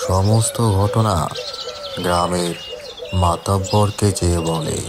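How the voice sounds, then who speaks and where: A man's voice: low voice, steady tone, slow, heavy background noise. A man narrating a scripted horror story, delivering it dramatically in short, broken phrases.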